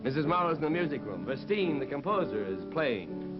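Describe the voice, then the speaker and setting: A polished inventor from an old radio play. His voice is on the high side, serious and formal. The sound is muffled, with loud music behind. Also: very animated, a little fast. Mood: cordial, curious. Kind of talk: old-time radio drama acting.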